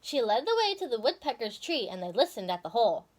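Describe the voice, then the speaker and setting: A woman reading a children's story aloud in a dramatic, expressive way. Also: a little high, fast.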